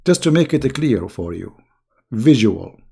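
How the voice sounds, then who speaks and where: Hoarse, taunting man with gravelly voice. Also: medium pitch, big pitch swings, measured pace, mellow. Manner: taunting, insulting, rambling. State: hoarse, losing his voice. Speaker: online religious commentator. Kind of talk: mocking casual monologue.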